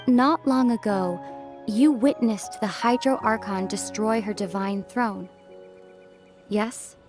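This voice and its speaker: A woman voicing a gentle but resolute, world-weary young wanderer in a video game cutscene, speaking softly in a measured, pensive way. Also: medium pitch, natural voice. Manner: soft, measured, pensive, with sighs and pauses. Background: background music.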